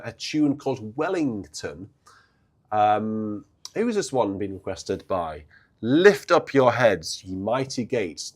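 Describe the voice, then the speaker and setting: A hoarse man, chatting haltingly on a live stream about church organs. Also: fairly low pitch. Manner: halting, chatty, many restarts and fillers. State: hoarse, coming down with a cold. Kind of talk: casual live-stream chat.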